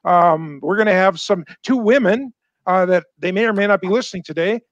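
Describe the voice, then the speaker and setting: High-voiced older man, halting speech. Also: no echo, highs cut off. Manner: halting, full of "uh" and restarts. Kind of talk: casual chat. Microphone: headset mic on a video call.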